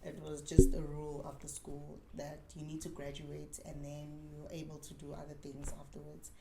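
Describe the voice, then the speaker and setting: Young woman, twenties, from South Africa, animated candid chat, slightly low pitch, faint background noise. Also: normal speed, gravelly, echoey room. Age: 20s. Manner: candid, animated storytelling with frequent "like" and "man". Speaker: guest dancer. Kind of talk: casual interview chat.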